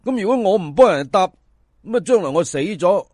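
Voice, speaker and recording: A man's voice: high voice, lively intonation, brisk, darkish tone, dry recording, clean studio sound. A man voicing a plain-spoken, superstitious river boatman from a folk tale, with a Hong Kong Cantonese accent and colloquial speech. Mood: dismissive, matter-of-fact. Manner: colloquial, stammering when scared.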